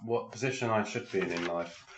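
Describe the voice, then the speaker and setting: A man's voice: lowish voice, a little fast, dull audio. A man co-hosting a podcast, rambling and hesitant with lots of fillers. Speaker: podcast co-host. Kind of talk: casual chat. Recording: roomy echo with background noise.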